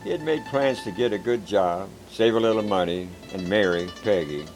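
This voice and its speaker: A man reading a first-person war story in a calm, measured, plain-spoken way. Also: fairly low pitch. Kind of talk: scripted first-person narration. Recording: background music.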